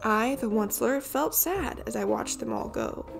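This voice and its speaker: Woman, high voice, children's read-aloud, expressive rhyming narration, faint music behind. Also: moderate pace, creaky. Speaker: storybook reader.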